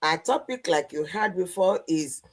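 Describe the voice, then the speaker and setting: A woman from Nigeria co-hosting a live-stream chat, unhurried and musing with "hmm" pauses. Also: fairly low pitch, expressive. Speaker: co-host.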